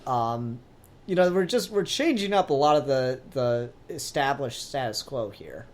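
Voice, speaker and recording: A man co-hosting a casual discussion, animated and sweary, prone to acting out bits of dialogue. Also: mid-range voice, measured pace. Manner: animated, profane, acts out quoted dialogue. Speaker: podcast co-host discussing comics. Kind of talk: casual chat.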